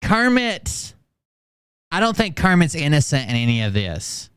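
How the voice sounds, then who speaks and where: Smooth-voiced man mocking a clip. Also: fairly high pitch, big pitch swings, unhurried, a bit bright, no room sound, clean studio sound. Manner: sarcastic, profane, mocking. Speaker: commentary host. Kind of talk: reaction commentary.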